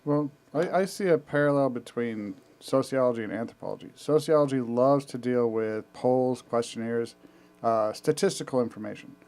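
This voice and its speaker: A man's voice: medium pitch, moderate pace, darkish tone. A man co-hosting a podcast who chats casually, with an emphatic, wry delivery. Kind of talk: casual chat.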